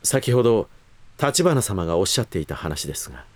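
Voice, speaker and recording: Cast a formal, protective butler with a lowish voice. His speech is impeccably polite yet firm and cool, with wide, expressive turns of pitch suited to an audio drama. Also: brisk, no echo. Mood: deferential. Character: formal, protective male butler, coolly hostile to intruders. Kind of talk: Japanese voice drama acting.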